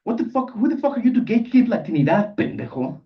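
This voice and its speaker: A man's voice: mid-range voice, expressive, fairly quick, natural voice. A man voicing a touchy, macho male grad student in a live story reading, slangy and posturing. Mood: angry, threatening. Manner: slangy, earnest, then threatening. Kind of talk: character dialogue in a live literary reading. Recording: dull sound, distant microphone.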